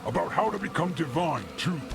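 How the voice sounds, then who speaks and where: An actor playing a deranged, gloating killer who believes himself divine, with theatrical delivery in a video game cutscene. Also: male voice, a little high, lively intonation, a little fast, creaky, very noisy. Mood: sneering. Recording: loud music over the dialogue. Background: loud game soundtrack music.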